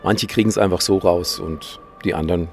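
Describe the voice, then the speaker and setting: A man's voice: low voice, steady tone, moderate pace, a little mellow, faint music behind. A man who works as a teacher and writes slam poetry, answering interview questions casually and hesitantly, with many fillers.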